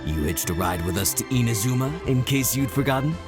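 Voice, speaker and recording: An actor playing a breezy, boastful, plain-spoken ship's chief mate, casual and chatty, in a video game. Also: male voice, medium pitch, lively intonation, a little fast, over music, lightly acted. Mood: jovial. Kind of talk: video game character acting.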